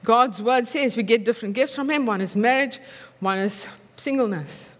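High-voiced Afrikaans-accented woman preaching. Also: normal speed, in a large hall. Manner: conversational, checks in with the audience with tag questions. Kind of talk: sermon.

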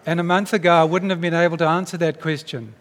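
A man's voice: on the high side, steady tone, fast. A man preaching a sermon to his church in an earnest, emphatic way. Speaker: pastor. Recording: long reverb of a church hall.

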